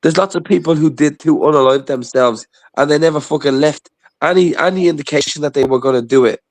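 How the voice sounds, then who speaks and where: A man's voice: on the high side, a little fast, no room sound. A man chatting casually on a livestream, blunt and sweary in the way he talks.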